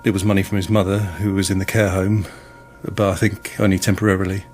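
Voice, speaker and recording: An actor plays a male estate agent in a naturalistic radio drama. His voice sits low as he delivers polite, evasive salesman's patter, with faint music underneath. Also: little pitch movement, quick pace, a little mellow, natural voice. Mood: hesitant, defensive.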